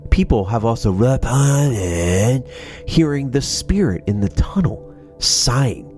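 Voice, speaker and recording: A man's voice: medium pitch, lively intonation, takes it slowly, a little mellow, soft background music. A man with a stuffy, nasal voice from a sinus cold, casually reading ghost stories into a microphone with sarcastic asides. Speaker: paranormal channel host. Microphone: studio microphone.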